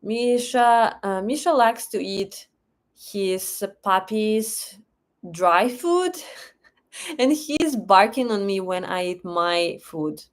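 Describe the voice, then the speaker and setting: Slow-talking Russian woman livestreamer. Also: medium pitch, expressive. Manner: chatty, reads chat questions aloud and thanks donors. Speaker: livestream host. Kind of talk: live stream chat reading comments.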